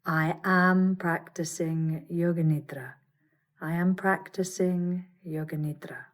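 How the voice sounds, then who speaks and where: A woman teaching yoga nidra, guiding a meditation with soothing, measured instructions and gentle pauses. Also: fairly low pitch, steady tone. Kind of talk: guided meditation.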